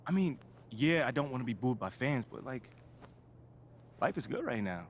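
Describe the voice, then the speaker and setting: A man's voice: medium pitch, very animated, moderate pace, slight background noise, natural voice. An actor playing a laid-back, upbeat young male basketball rookie using casual slang. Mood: easygoing. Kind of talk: video game cutscene acting.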